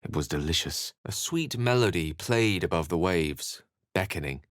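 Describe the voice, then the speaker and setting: An eloquent, low-voiced wizard. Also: male voice, animated, normal speed, no echo, studio quality. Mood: ominous narration. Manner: articulate, literary, theatrical phrasing. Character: eloquent, bookish male wizard, wry, courteous and brooding. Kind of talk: video game voice acting, narration and dialogue.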